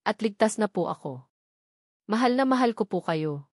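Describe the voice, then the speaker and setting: A woman's voice: mid-range voice, expressive, moderate pace, darkish tone, no echo, close to an everyday voice. A woman narrator plays a young girl in a dramatic story. The girl is vulnerable, emotional and full of love, speaking respectfully. The sound is close and dry. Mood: tender. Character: frightened, tearful, loving young girl.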